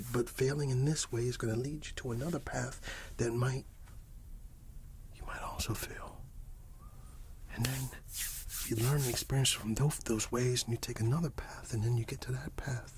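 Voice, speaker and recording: A man giving an encouraging, rambling ASMR monologue that slips between a breathy whisper and soft speech, often repeating himself. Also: mid-range voice, a little hiss or hum. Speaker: ASMR channel host.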